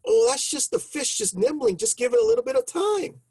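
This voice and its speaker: A man's voice: very high-pitched, big pitch swings, fairly quick, mellow, no room sound, studio quality. A man voicing a sly little trickster coyote with a coaxing manner in an oral animal folktale. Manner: coaxing, falsely reassuring.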